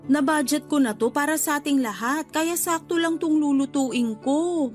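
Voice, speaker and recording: A woman's voice: sits high, moderate pace, darkish tone, soft background music, natural voice. A woman acting in a Tagalog radio drama as a married homeowner who nags and lectures her older brother. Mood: annoyed. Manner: nagging, preachy, emphatic.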